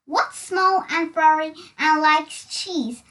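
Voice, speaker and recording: A woman's voice: high-pitched, slow, fairly bright tone, distant mic, low-quality recording. A woman voicing a big, clever storybook elephant, teasing and self-satisfied. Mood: smug.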